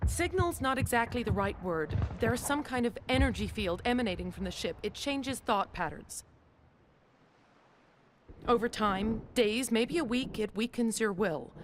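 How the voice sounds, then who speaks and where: An actress playing a frightened but pragmatic neurospecialist in a video game, explaining things anxiously. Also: female voice, a little high, lively intonation, a little slow, noisy background. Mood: uneasy, explaining. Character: frightened, pragmatic female neurospecialist at villain's research lab.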